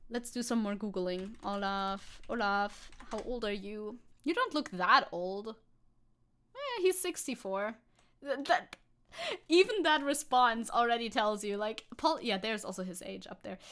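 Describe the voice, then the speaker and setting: A woman's voice: on the high side, a bit bright. A young woman VTuber streamer chatting casually and sarcastically, reading news and chat aloud, swearing and laughing.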